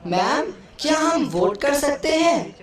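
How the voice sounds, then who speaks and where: An earnest schoolchild played by a woman pleads with elders in a puppet show. The highish voice is declamatory, picked up from afar with heavy echo. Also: big pitch swings, slow, heavily acted. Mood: curious. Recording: very echoey hall, distant mic.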